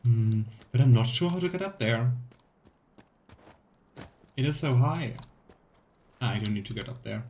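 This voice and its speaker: A man in his thirties streams a video game, talking casually and thinking out loud as he plays. His voice sits at an average pitch, with game ambience faintly noisy behind it. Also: expressive, measured pace, long pauses. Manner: musing aloud to himself. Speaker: let's play streamer.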